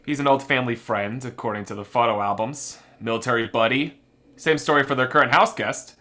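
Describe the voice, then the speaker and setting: An actor playing a brisk, wry policeman in a radio murder mystery, talking in a conversational, emphatic way. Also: medium pitch, pushed and bright, natural voice. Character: brisk, wry policeman briefing an inspector on suspects.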